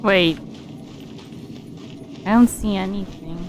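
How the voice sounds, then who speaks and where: A woman's voice: medium pitch, slow pace, low-quality recording. A woman giving casual, hesitant gameplay commentary, muttering to herself as she streams. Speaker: game streamer. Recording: game music behind the voice.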